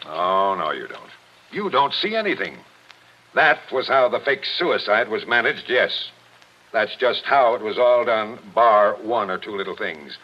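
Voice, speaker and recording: A man playing a gruff, relentless police captain with forceful, clipped talk in a 1940s radio drama. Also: on the low side, sing-song, a little slow. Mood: gruff, insistent. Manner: forceful, insistent, clipped tough-cop talk. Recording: dull vintage 1940s radio recording.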